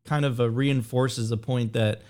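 A man's voice: medium pitch, steady tone, unhurried, a little mellow. A man hosting a podcast, eager and emphatic, who repeats phrases to urge viewers on. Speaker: podcast host. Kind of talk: casual podcast chat.

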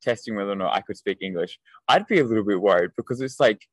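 A man's voice: fairly low pitch, expressive, fast, dry recording. A young man, hosting a casual chat in a rambling, self-interrupting, jokey way. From Australia. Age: young adult.

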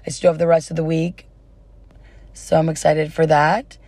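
A woman's voice: low-pitched, level tone, normal speed, darkish tone, no room sound. Young woman podcasting, dry close sound. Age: young adult. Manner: informal, giggly, uses y'all. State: slightly losing her voice. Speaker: podcast host. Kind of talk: casual solo podcast chat.

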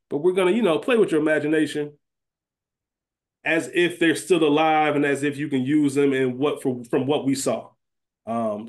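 A man co-hosting a podcast, talking through a TV series in an informal, conversational, explanatory way. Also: a little high. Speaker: podcast co-host. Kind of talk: casual podcast discussion of a TV series.

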